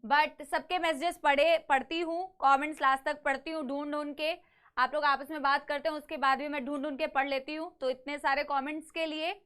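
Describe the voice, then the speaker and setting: High bright-voiced teacher with sore throat. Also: female voice, steady tone, brisk. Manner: addresses students as "beta", mixes English phrases, coaxing and reassuring. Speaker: online biology teacher. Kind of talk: live online class lecture.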